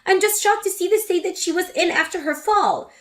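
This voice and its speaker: A woman's voice: very high voice, fairly quick, soft background music, pitched above the performer's own voice. A woman voicing an angry grown daughter who confronts her mother sharply. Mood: indignant. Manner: sharp, indignant.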